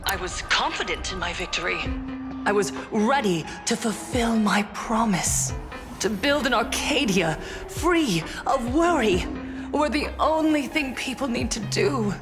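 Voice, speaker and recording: A composed female candidate making a solemn public broadcast, in a fairly high voice. Her delivery is measured and oratorical, game-cutscene style, with clear music underneath. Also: animated. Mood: solemn, persuasive. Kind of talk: formal televised speech, video game acting.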